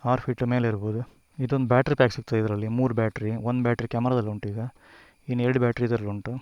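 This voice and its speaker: Fast-talking man, dry close sound. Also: medium pitch, darkish tone, clear, resonant tone, no echo, muffled recording. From India. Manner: rambling, self-correcting, conversational. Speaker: motovlogger. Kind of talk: casual gear walkthrough.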